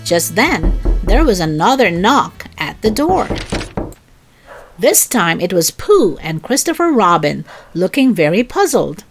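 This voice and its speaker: A woman narrating a children's story in an expressive, deliberate read-aloud style. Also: mid-range voice, music in the background.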